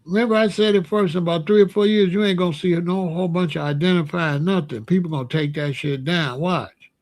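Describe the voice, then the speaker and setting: Blunt man with a higher voice. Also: fairly even intonation, brisk, darkish tone. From Chicago. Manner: blunt and opinionated, with constant rhetorical questions; loose, slangy street talk. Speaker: show host. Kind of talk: casual opinion monologue.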